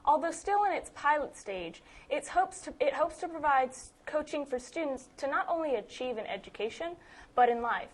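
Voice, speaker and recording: Brief: female student anchor, high voice, emphatic scripted read. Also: expressive, moderate pace. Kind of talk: scripted news reading.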